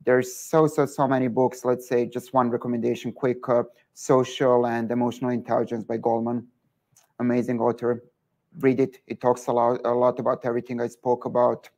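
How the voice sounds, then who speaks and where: A man's voice: mid-range voice, little pitch movement, fairly quick, darkish tone. A man with a Serbian accent, a guest entrepreneur in an online interview, giving earnest advice with many filler words.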